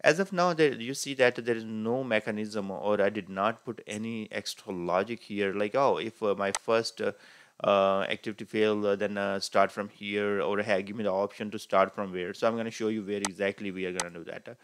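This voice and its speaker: A man teaching a screen-recorded software tutorial, talking unhurriedly with frequent "uh" fillers as he clicks through each step. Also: lowish voice, fairly even intonation, normal speed. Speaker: tutorial instructor. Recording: faint hiss behind voice.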